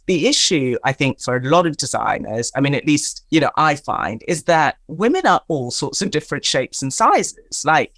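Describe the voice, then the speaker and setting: A woman's voice: a bass voice, a bit bright, no room sound. A woman from Tanzania, a guest in a conversational interview, talking emphatically and explaining with examples. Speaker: guest, fashion stylist.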